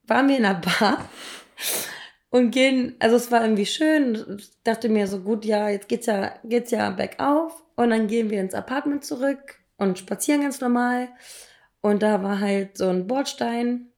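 A woman in her thirties, hoarse from illness, tells personal stories in a casual, emphatic, slangy way. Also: a little high, normal speed, darkish tone. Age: 30s. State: hoarse, ill. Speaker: podcast co-host.

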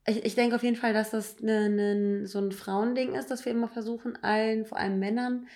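A woman with a slightly higher voice talks things through in a casual chat. She reflects out loud and stresses her points with emphatic, slangy phrasing. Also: steady tone, brisk.

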